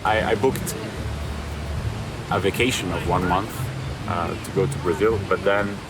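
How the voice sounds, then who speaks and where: A man's voice: sits low, steady tone, a little slow, over loud music, rough recording. A man in his twenties from South Tyrol whose first language is German, answering an interview in a hesitant way that searches for words. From South Tyrol, Italy. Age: 20s.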